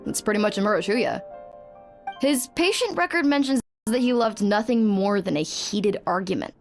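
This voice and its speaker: Casting: woman playing emphatic, wry female clinic worker, medium pitch, video game dialogue. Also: lively intonation, moderate pace, close to an everyday voice. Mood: wry, exasperated. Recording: game music behind the voice.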